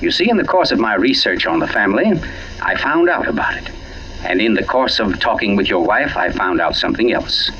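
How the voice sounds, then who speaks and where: An actor playing an urbane, pompous gentleman writer turned sleuth in a 1940s radio mystery, teasing and deliberate in his delivery. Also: on the low side, big pitch swings, breathy edge, exaggerated voice. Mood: confiding, measured. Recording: dull lo-fi old radio broadcast.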